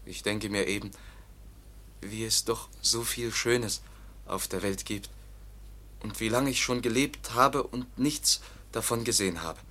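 An actor playing a naive, fearful young foundling in a German radio drama, speaking in a halting, plaintive, simple way. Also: male voice, fairly low pitch, sharp tone. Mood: sorrowful.

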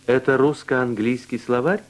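A man reading language-course dialogues and word drills with slow, deliberate, carefully enunciated speech. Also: mid-range voice. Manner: clear, deliberate articulation in short answers.

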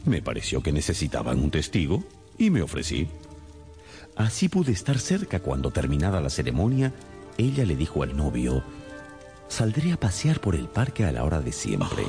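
An actor playing a brilliant, inquisitive detective in a radio drama, brisk and wry, theatrically musing and questioning. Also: male voice, extremely low pitch, huge pitch swings, vocal fry, mellow, faint music behind, full character voice. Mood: amused, reporting.

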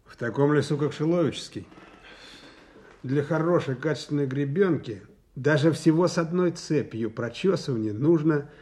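Dark-toned earnest staff officer played by a man. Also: fairly high pitch, moderate pace, close to an everyday voice. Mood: matter-of-fact, emphatic. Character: earnest, cautious army staff officer reporting details. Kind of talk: Soviet-style radio play acting.

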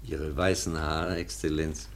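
Slow, gentle, patient schoolteacher. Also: male voice, extremely low pitch, little pitch movement, gravelly, slight background noise, heavily acted. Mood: patient, explaining. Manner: soothing simple phrases, careful explaining. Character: gentle, patient male schoolteacher caring for a foundling. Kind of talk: German radio play acting.

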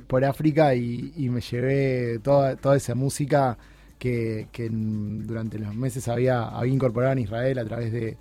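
Un hombre uruguayo de treinta y tantos, invitado en una radio, habla con muchas pausas, titubeos y repeticiones. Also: male voice, medium pitch, mellow. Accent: Uruguayan Spanish. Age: 30s. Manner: halting, many restarts and fillers. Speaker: guest. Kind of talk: casual interview chat. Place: radio studio.

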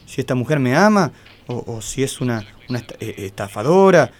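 A man's voice: mid-range voice, lively intonation, lightly acted. Actor as a stuttering, insecure Argentine man. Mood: anxious, earnest. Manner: heavy nervous stutter, halting and repetitive. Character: insecure, lovelorn, short homely man, a detective's client. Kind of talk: comic radio play acting.

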